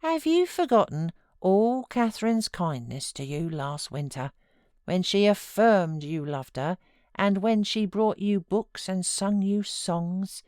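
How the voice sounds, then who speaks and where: A woman's voice: lowish voice, darkish tone, no echo, clean studio sound. A woman voicing a stern, loyal housekeeper in a novel, forthright and reproachful.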